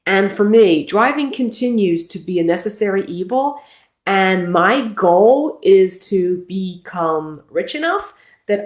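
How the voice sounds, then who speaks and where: A woman's voice: mid-range voice, roomy sound. A middle-aged woman from Philadelphia, a nurse practitioner hosting a casual livestream talk in a chatty, self-deprecating way.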